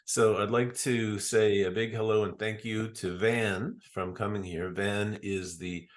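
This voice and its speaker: A man hosting an interview, speaking in a halting way with many fillers and restarts. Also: lowish voice, steady tone. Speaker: interview host. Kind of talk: casual interview.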